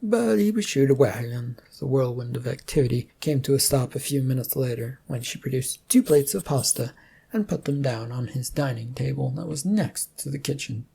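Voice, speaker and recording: Amateur fiction narrator, mellow and gravelly, phrasing that comes in halting pieces. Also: medium pitch, animated. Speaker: story narrator.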